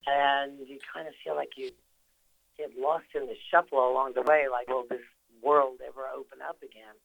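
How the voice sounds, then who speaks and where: A woman's voice: a bass voice, flat delivery, normal speed, creaky, narrow, tinny audio. An older woman, an actress, talking in a phone-in radio interview, chatty and rambling with lots of fillers and restarts. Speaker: actress guest. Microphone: phone call.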